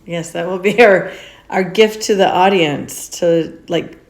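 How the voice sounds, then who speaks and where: A woman hosting a casual podcast, chatty and upbeat, with lively emphasis as she interviews a guest. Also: lowish voice, a little slow. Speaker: podcast host. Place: indoors on a road trip in Utah mountains.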